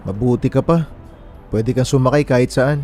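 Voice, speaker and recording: A male actor plays a young man in a radio drama, friendly and a little anxious by temper. He speaks in an easy, conversational way at a middling pitch. Music plays faintly beneath him. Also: moderate pace, warm tone, lightly acted. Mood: worried, chatty. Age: young adult. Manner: casual, conversational. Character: friendly, worried young man waiting at a bus terminal. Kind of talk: naturalistic radio drama dialogue in Tagalog.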